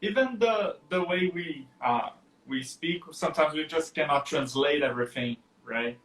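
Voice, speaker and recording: A man's voice: a little high, moderate pace, a bit bright, a little hiss or hum. Man asking questions in an echoey room. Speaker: co-host. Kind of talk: casual online chat.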